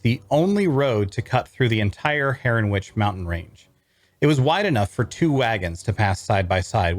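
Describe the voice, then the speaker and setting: Animated man narrating fantasy, dry sound. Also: mid-range voice, no room sound. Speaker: voice actor. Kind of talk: first-person story narration, read from script.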